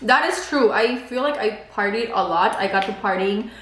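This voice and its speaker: A woman's voice: medium pitch, normal speed, fairly bright tone, roomy sound, slight background noise, rough recording. A woman in her twenties whose first language is Spanish, chatting casually on a vlog and saying "like" a lot. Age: 20s. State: pregnant. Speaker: beauty vlogger. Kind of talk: casual chat while eating.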